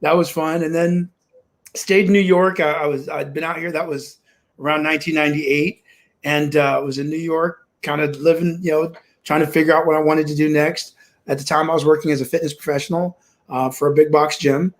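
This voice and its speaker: Man, forties, from kansas, upbeat, optimistic, somewhat high voice, video call from home. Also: flat delivery, fairly quick. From Kansas, USA. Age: 40s. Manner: upbeat, optimistic, many restarts. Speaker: guest. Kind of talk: casual interview storytelling. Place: home room.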